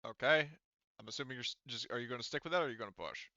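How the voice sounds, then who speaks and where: A man's voice: mid-range voice, rapid-fire, pushed and bright, no room sound. A man running a tabletop game as game master, narrating casually and informally with some swearing, on slightly dull audio.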